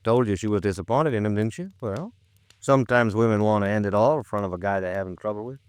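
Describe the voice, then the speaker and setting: An actor playing a world-weary, plain-talking police lieutenant in an old-time radio detective drama, blunt and colloquial. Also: male voice, lowish voice, quick pace, clean tone, dry recording, lightly acted. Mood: matter-of-fact.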